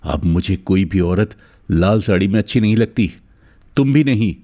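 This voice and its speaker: A man's voice: low voice, expressive, moderate pace, mellow. A man playing a bitter, menacing cursed werewolf man in a cartoon fairy tale, with a threatening, dramatic delivery.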